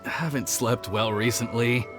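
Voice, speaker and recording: A man's voice: medium pitch, fairly even intonation, unhurried, close to an everyday voice. A man playing an earnest, anxious, dutiful young retainer in a video game, speaking politely and formally with some hesitance. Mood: worried, weary. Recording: music playing behind the voice.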